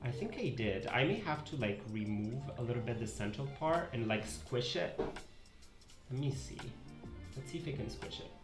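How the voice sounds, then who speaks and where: A man's voice: medium pitch, lively intonation, normal speed, roomy sound, over music. A man in his twenties with an Italian accent, chatting casually on a live stream while drawing, wandering and correcting himself mid-sentence. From Italy. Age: 20s. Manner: rambling, self-correcting, reads chat aloud. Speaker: pixel art streamer. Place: home desk room. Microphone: headset.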